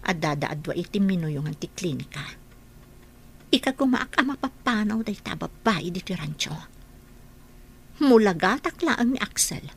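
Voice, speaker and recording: A woman playing a spiteful, scheming nanny in an Ilocano-accented radio drama, with a sharp, confrontational manner. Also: mid-range voice, lively intonation, normal speed, vocal fry, long pauses, a little hiss or hum. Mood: scheming, brooding.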